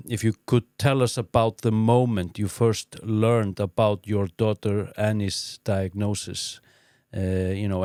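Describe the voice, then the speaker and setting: A man hosting a podcast who introduces his guest and asks questions in a measured way, with frequent listening murmurs. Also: lowish voice, darkish tone, no room sound, clean studio sound. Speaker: podcast host. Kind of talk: interview hosting.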